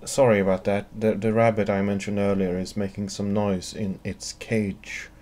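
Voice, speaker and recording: A man's voice: fairly low pitch, level tone, moderate pace, background noise. A man with a Swedish accent answering viewer questions alone in a dry, wry, wordy monologue. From Sweden. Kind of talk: solo Q&A monologue.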